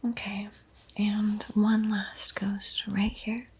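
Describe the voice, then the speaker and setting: A woman hosting an ASMR art tutorial in a soft, breathy whisper, gentle and unhurried, with frequent pauses. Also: medium pitch, level tone. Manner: slow, gentle, pausing often.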